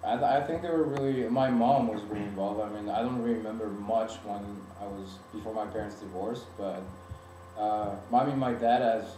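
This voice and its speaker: A man's voice: fairly low pitch, noisy background. A young man from France whose first language is French, answering interview questions in English with lots of fillers and restarts. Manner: hesitant, many fillers and restarts. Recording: echoey room from a distance.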